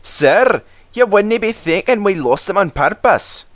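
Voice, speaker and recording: A man's voice: high-pitched, animated, measured pace, no room sound, pitched above the performer's own voice. A man voicing a sly, cheeky Scottish steam engine in a storybook, with a chirpy, mock-innocent manner and a Scottish accent. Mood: pained, innocent.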